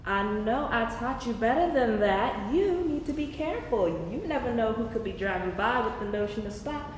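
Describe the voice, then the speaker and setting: Actress playing an arch, reproving mentor for stage drama; raised pitch, long reverb and some noise. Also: female voice, animated, moderate pace, natural voice. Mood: arch, scolding. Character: sly, scolding mentor at a 1966 basement party. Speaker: actor in a staged reading. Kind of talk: staged play reading. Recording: very echoey hall, noisy live stream.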